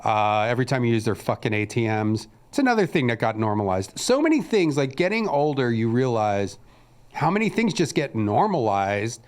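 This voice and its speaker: A man's voice: mid-range voice, big pitch swings, moderate pace. A man co-hosting a casual podcast, ranting in a crude, sarcastic way. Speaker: podcast co-host.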